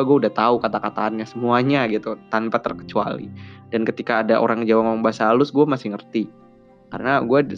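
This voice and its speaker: A man's voice: fairly low pitch, muffled recording. A young man from Bandung hosting a podcast, telling personal stories in casual slangy chat and acting out childhood dialogue in a raised voice. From Bandung, West Java, Indonesia. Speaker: podcast host.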